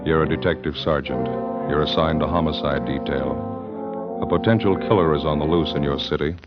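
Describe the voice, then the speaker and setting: An American man with an extremely deep voice delivers solemn, terse scripted narration as a vintage radio announcer. Loud music plays with him on a dull old recording. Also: normal speed.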